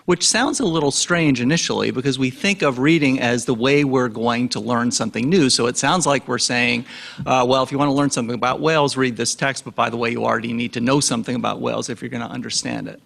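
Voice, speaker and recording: A man's voice: mid-range voice, vocal fry, a bit bright. A man on an expert panel giving a fluent, lecture-like explanation with everyday examples. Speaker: cognitive psychologist on a panel.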